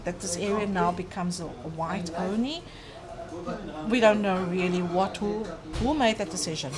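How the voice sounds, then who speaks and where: A middle-aged South African woman from Cape Town, a guest speaking earnestly and thoughtfully, with pauses and fillers. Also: on the low side, music in the background. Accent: South African English. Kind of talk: conversational interview.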